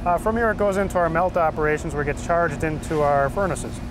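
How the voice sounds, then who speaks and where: A man's voice: a little high, quick pace, over music, low-quality recording. A young man, a foundry supervisor, explaining the process plainly with small hesitations. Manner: matter-of-fact, uses "uh" fillers. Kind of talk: technical explanation in interview. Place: scrap yard at a foundry. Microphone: lapel mic. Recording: loud industrial noise behind.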